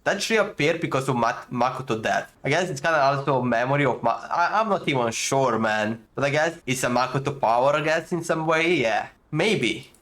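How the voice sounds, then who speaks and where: A man giving casual, spontaneous gameplay commentary, thinking aloud in a halting, repetitive way with non-native phrasing. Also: mid-range voice, lively intonation, a bit bright. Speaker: gaming video host.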